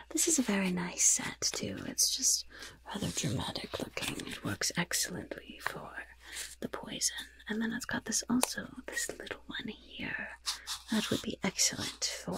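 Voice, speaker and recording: A woman voicing a sly, gleefully wicked goblin shopkeeper in a whispered ASMR roleplay, with polite, chatty patter and repeated words. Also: medium pitch. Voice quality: breathy, husky whisper with gravelly creak.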